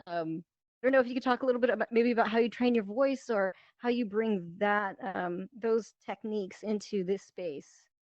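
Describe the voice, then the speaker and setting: A woman's voice: medium pitch, normal speed, darkish tone, no room sound. Woman moderator, dull dry headset sound. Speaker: panel moderator, drama student. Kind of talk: casual panel discussion. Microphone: VR headset microphone.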